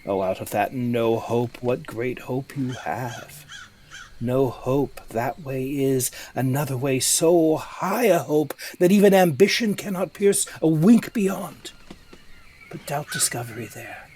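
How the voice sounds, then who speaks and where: A man's voice: mid-range voice, darkish tone, a little hiss or hum, close to an everyday voice. A man playing a scheming, ambitious usurping nobleman in a Shakespearean audio drama, with an insinuating, rhetorical delivery. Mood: insinuating, persuasive. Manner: insinuating, rhetorical, deliberate emphasis. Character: scheming, ambitious usurping nobleman tempting his companion to murder. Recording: remote recording with music underneath.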